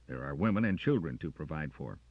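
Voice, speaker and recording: A man's voice: very deep voice, expressive, a little fast, husky, no room sound, phone-quality sound, heavily acted. A man voicing a weary, evasive male arms officer in an audiobook, speaking in an earnest, persuasive way.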